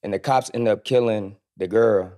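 Laid-back American man, low mellow voice. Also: normal speed. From Louisville, United States. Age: 30s. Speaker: podcast guest. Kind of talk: casual chat. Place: podcast studio. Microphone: studio mic on boom arm.

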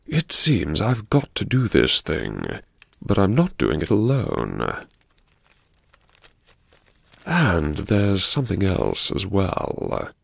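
An actor playing a genteel, troubled gentleman diarist, narrating an audiobook in a mannered, hesitant, politely formal and wryly confessional way. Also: low-pitched, wildly swooping pitch, takes it slowly, creaky, long pauses, husky, dry recording, heavily acted. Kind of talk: first-person dramatic audiobook narration.

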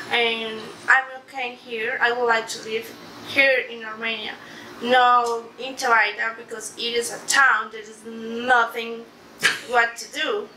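A young woman from Colombia with a Colombian Spanish accent, a native Spanish speaker, answering interview questions in halting English with soft laughs, recorded by a camera across a living room. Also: a little high. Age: young adult. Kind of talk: casual interview in a second language. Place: living room, sitting on a couch. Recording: echoey room, distant mic, background noise.

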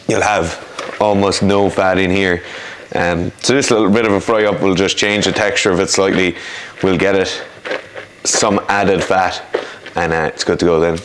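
Irish man, 30s, low voice, relaxed cooking-demo explainer, home kitchen, camera a few feet off. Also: moderate pace, a little hiss or hum, low-quality recording. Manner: relaxed, explanatory, chatty asides. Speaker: cook demonstrating a recipe. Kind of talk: casual cooking demo chat.

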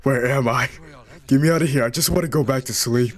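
A man improvising a comedic fan voice-over for a cartoon in a deadpan, goofy manner. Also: medium pitch, brisk. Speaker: amateur fan dubber.

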